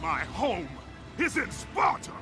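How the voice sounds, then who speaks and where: An actor playing a fierce, defiant Spartan warrior in a video game, making forceful declarations. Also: male voice, sits high, big pitch swings, takes it slowly, full character voice. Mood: angry defiance. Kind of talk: dramatic video game acting. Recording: loud music over the voice. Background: loud game soundtrack music.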